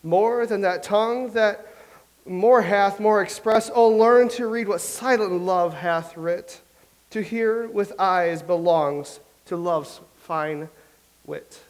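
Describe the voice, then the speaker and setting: Boastful, rambling young playwright, slightly high voice, as an actor plays him. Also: male voice. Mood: reciting. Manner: rambling, recites verse slowly. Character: chatty boastful young playwright from old England. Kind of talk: staged play reading.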